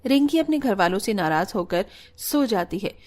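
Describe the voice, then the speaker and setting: A woman reading scripted narration for an animated family story. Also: mid-range voice, moderate pace.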